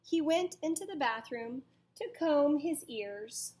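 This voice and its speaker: Female children's read-aloud teacher. High-pitched, very unhurried, dramatic storytelling, puts questions to young listeners. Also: expressive, a little mellow. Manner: deliberate, dramatic storytelling delivery.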